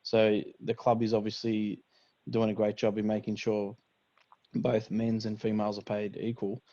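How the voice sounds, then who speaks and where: A man's voice: lowish voice, monotone, moderate pace, mellow, dry recording. A man asking a question from the audience in a conversational style. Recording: dull, lo-fi video call audio.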